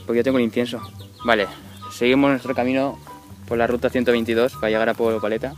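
A young man from Spain with a Valencian accent, chatting jokily in a casual outdoor gaming vlog and bursting into excited shouts, recorded on a handheld camera by a dry riverbed. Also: medium pitch, quick pace, soft background music. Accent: Valencian Spanish. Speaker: vlog host.

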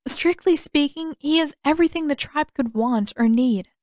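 Woman reading as a polite resigned young noble lady, careful formal wording, highish voice, dry sound. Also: darkish tone, no echo, close to an everyday voice. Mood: dutiful, restrained. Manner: formal, measured, careful phrasing.

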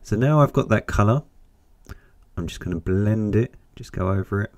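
A man with a cold, croaky and deep, chatting through a step-by-step painting lesson with an encouraging manner. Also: normal speed, darkish tone, no echo. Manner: chatty, encouraging, thinks aloud while painting. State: hoarse with a cold. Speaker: painting teacher.

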